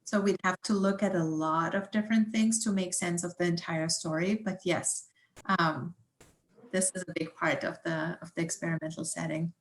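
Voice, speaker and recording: A woman's voice: fairly low pitch, steady tone, normal speed. A woman with a German accent, a native German speaker, explaining things informally as a guest scientist answering questions. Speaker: guest environmental scientist and researcher. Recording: roomy, echoey sound.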